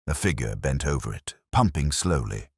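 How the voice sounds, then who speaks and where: A man narrating a scripted mystery story in a measured, dramatic manner. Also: rumbling low, lively intonation, slightly rough, dry recording, studio quality. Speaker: audiobook narrator.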